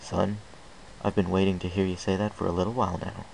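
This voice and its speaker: A solemn, stoic father pony, a middle-aged stallion from a storybook, talking calmly with quiet pride, as a male reader puts him on. The voice sits very low with a gravelly edge. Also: fairly quick, a little hiss or hum, low-quality recording.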